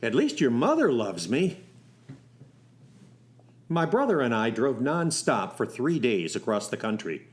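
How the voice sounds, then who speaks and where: A man's voice: medium pitch, very animated, unhurried, pauses often. A man acting as a young driving instructor and soldier, telling his love story in a wry, folksy way for a radio play. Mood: eager. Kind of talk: first-person radio storytelling with acted dialogue.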